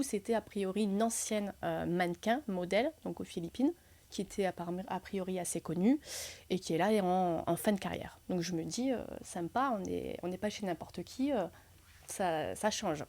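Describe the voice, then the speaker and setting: A woman's voice: medium pitch, moderate pace. Young woman spilling a funny work story, lots of euh, laughs a lot, casual podcast chat. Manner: animated anecdote telling with laughs. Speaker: real estate agent podcast co-host.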